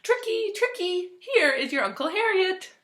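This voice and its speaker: A woman's voice: very high-pitched, sing-song, moderate pace, fairly bright tone, echoey room, pitched above the performer's own voice, heavily acted. A woman voicing a gushing, fretful, wealthy elderly widow who dotes on her dog, with dramatic, effusive delivery in a storybook reading. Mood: delighted. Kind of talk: storybook read-aloud character voice.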